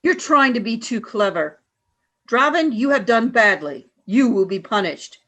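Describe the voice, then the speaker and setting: A woman's voice: on the high side, expressive, a little slow, fairly bright tone. A woman playing a cold, commanding alien leader. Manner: stern, clipped orders. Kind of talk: amateur radio play.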